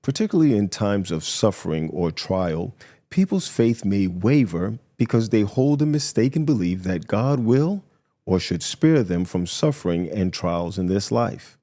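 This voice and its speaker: Male pastor, low register, soft dark tone, delivering a prepared daily devotional reading. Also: very animated, measured pace.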